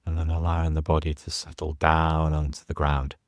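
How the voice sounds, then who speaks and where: A man guiding a yoga nidra meditation as a teacher, soothing and unhurried, leaving pauses between short phrases. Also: extremely low pitch, completely flat delivery, no room sound, clean studio sound. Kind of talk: guided meditation instruction.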